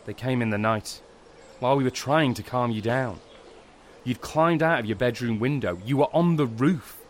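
An actor playing a burdened, middle-aged father in an audio drama, speaking earnestly and haltingly. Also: medium pitch, a little hiss or hum, close to an everyday voice. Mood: pained, confessing. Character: protective, burdened father of a teenage girl.

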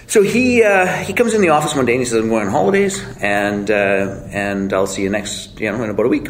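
A man's voice: mid-range voice, sing-song, fast, a little hiss or hum, rough recording. Blunt, self-mocking man telling a story. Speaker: guest. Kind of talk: casual storytelling.

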